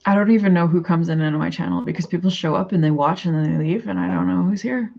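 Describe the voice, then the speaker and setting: A woman's voice: fairly low pitch, fast, vocal fry, highs cut off. A woman with a Canadian accent, chatting casually and rambling to her viewers on a livestream. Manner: rambling, chatty, thinks aloud and answers chat. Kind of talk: casual live chat.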